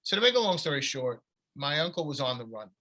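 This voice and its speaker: Bright-voiced New man telling stories. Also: fairly high pitch, big pitch swings, fast. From United States. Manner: long rambling anecdotes with restarts. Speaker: author. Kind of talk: conversational storytelling.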